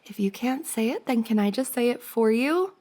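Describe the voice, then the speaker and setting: A woman playing a giggly, teasing young woman confessing to her crush in an intimate ASMR roleplay, with a creaky voice. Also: fairly high pitch, animated, normal speed, warm tone. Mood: teasing, tender. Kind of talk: intimate ASMR roleplay, acted as one side of a conversation.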